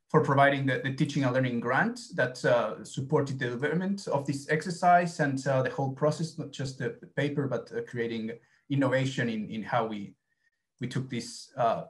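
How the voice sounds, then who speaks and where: A man in his thirties with an Argentine Spanish accent presents an academic paper over a video call. He talks in a mid-range voice, stopping often to restart phrases and fill gaps with "uh" and "um". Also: medium pitch. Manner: hesitant, many fillers and restarts.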